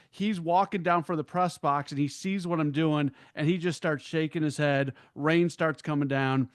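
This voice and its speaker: A man with a smooth, clear voice on the higher side tells stories casually as a podcast guest. The sound is close and dry. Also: a bit bright, dry recording. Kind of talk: casual anecdote telling.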